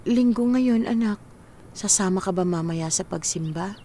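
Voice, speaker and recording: A woman's voice: medium pitch, unhurried, dark tone, slight background noise, close to an everyday voice. A woman playing an ailing, tender middle-aged mother who pleads hesitantly, in radio drama style. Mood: hesitant, hopeful.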